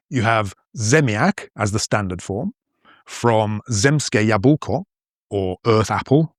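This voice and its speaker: Lively posh-northern English man, dry sound. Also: fairly low pitch, no echo. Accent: English, mostly posh with northern traces. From northern England. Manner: playful, explanatory, lively storytelling. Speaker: podcast host and language teacher. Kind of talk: scripted educational podcast narration.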